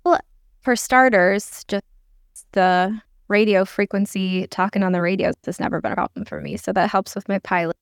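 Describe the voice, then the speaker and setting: A woman's voice: medium pitch, animated, moderate pace, clear, resonant tone, no room sound, clean studio sound. A woman giving candid, informal explanations as an interview guest. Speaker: podcast guest, air traffic controller and pilot. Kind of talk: conversational interview answers.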